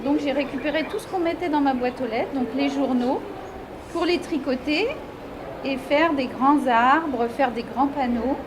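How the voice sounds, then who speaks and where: A woman with a high voice explains her artwork in her own unscripted words. She speaks as the artist, with clear ambient noise around her. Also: unhurried. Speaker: artist presenting own work.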